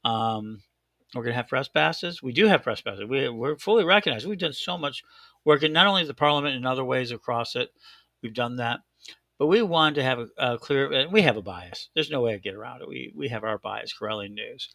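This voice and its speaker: A man hosting a casual show in a thick Chicago accent, rambling excitedly and often doubling back on himself. His voice is bright and gravelly. The sound is close and dry. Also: medium pitch, brisk, dry recording. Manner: excitable, rambling, self-correcting. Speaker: host. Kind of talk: casual live-stream chat.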